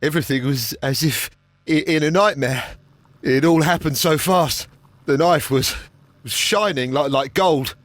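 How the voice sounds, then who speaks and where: A man's voice: fairly high pitch, a little slow, no echo, lightly acted. Man playing a nervous, stammering, haunted councillor. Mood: frightened. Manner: heavy stammer, hesitant, apologetic. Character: nervous, haunted, guilt-ridden male councillor and archaeology enthusiast. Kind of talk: scripted video game acting.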